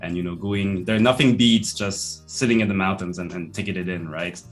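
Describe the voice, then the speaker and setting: A man in his thirties from French-speaking Switzerland, with a French accent, answering interview questions thoughtfully over a video call from his office, his sentences trailing off softly. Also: low voice, normal speed, faint music behind. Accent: French-speaking Swiss. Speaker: guest, professor. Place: office with bookshelves. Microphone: video call webcam.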